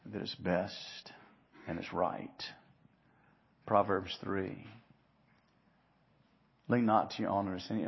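Male preacher, husky mid-pitched voice, earnest, emphatic, dynamic from hushed to loud, repeats phrases, slightly muffled recording. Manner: rhetorical questions and repeated phrases. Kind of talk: expository sermon.

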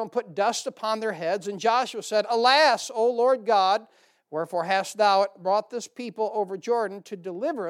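A man's voice: high-pitched, clear, resonant tone. A middle-aged American man preaching a church sermon and reading from the Bible, with informal, folksy asides to the congregation.

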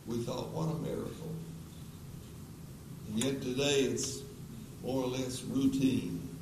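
Slow, earnest elderly preacher in an echoey hall. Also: male voice, a little high. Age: 80s. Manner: slow, earnest, repeated pleading questions. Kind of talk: closing sermon with altar call. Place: church auditorium. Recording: echoey auditorium from a distance with noise.

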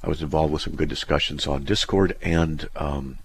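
A man's voice: rumbling low, steady tone, moderate pace, a little hiss or hum. A middle-aged man hosting a talk radio show, rambling conversationally with many "uh" fillers into a studio mic. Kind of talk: solo talk radio monologue.

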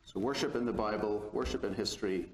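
A man from Northern Ireland with a Belfast accent, giving a theology lecture in a measured, deliberate way with touches of dry humor. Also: lowish voice, slight background noise. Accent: Belfast, Northern Irish. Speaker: guest lecturer. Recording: echoey lecture hall.

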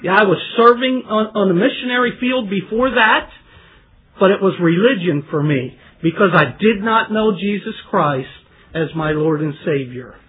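High-voiced man giving an emotional testimony. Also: moderate pace. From Trinidad. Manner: animated storytelling with big swings in pitch, sometimes close to tears. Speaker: lay preacher giving a testimony. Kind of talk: personal testimony sermon. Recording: tinny phone-like sound, faint hiss.

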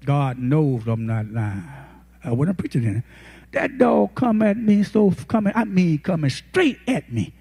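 A man in his eighties, a pastor, preaching a folksy, impassioned sermon that rises to shouted peaks. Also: a little high, lively intonation, unhurried, gravelly, breathy edge. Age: 80s.

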